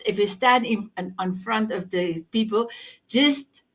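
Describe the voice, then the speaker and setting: A woman's voice: medium pitch, measured pace, a bit bright. Effusive woman. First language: Afrikaans. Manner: effusive, searches for words while translating. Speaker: talk show host.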